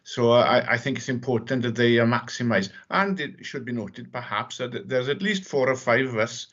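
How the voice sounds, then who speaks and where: Halting man questioning at a meeting. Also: mid-range voice, a bit bright, muffled recording. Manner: halting, repeats words, switches Welsh and English. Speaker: councillor.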